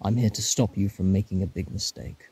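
A man's voice: deep voice, steady tone, fairly quick, warm tone, breathy edge, heavily acted. A man playing an eccentric, persistent time traveller in a fan audio drama, flippant yet earnest and reassuring.

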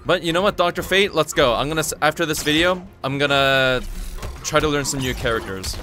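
A man whose first language is Korean, hosting his channel and commentating his own online fighting-game matches, excitable and swearing freely as he talks to himself. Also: a little high, a little fast, soft background music. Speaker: gaming channel host. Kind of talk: live gameplay commentary.

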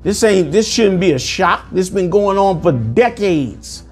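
A man in his forties preaching commentary over news clips, forceful and sermonizing, calling listeners "man", recorded indoors on a lapel mic. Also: high-pitched, expressive, measured pace, soft background music. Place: indoor room.

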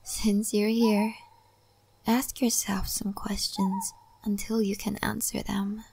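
A woman playing a calm, wise, mysterious guide of a spirit forest in an ASMR roleplay, speaking gently and softly, often in a breathy whisper, with pauses. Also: medium pitch, slight background noise, low-quality recording. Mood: gentle, musing. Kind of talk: soft-spoken, half-whispered ASMR roleplay.

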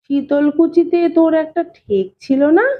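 A concerned, prying older brother-in-law played by a woman in an audiobook. The voice is high, familiar and coaxing. It sounds thin, as if over a phone. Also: measured pace, narrow, tinny audio. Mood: probing. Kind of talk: dramatized audiobook reading.

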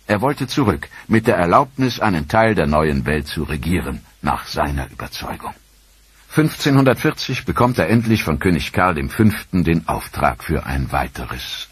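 Deep husky-voiced male documentary narrator. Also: dry recording. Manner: measured, earnest storytelling delivery.

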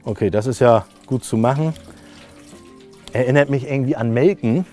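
A man's voice: medium pitch, normal speed, darkish tone, faint music behind. A middle-aged man, chatting informally and jokingly as he thinks aloud through a hands-on product test. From northern Germany. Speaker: camping video host. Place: camping awning, outdoors and inside the tent.

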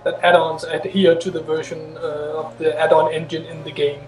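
Danish guy explaining tech stuff patiently, slightly high voice, faint music in the background. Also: completely flat delivery, moderate pace, reverberant, rough recording. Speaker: guest add-on author. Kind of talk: casual explanation.

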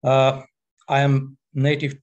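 A man in his forties from Armenia with an Armenian accent, giving an online presentation on a headset mic in an unhurried, halting way full of fillers. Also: medium pitch, completely flat delivery, darkish tone, dull audio. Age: 40s. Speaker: guest presenter. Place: small room, indoors. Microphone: headset microphone.

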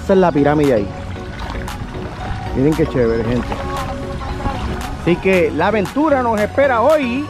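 Entusiasta vlogger puertorriqueño en kayak. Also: male voice, sits high, normal speed, pauses often, over music. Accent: Puerto Rican Spanish. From Puerto Rico. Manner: excited, cheering, speaks to viewers. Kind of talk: upbeat travel vlog narration. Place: outdoors on a kayak on open bay water. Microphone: action camera on the kayak, sometimes held at arm's length.

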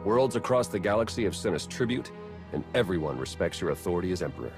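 A dry, cocky spy adviser in a video game. The voice sits low but swings with animated, informal wit, over clearly audible music. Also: male voice, fast talker. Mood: dryly reporting. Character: wry, cocky young male spy adviser. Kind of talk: cinematic video game acting.

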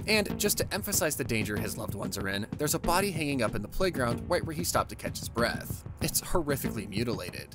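Animated male recap narrator over music. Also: mid-range voice. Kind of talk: scripted story recap narration.

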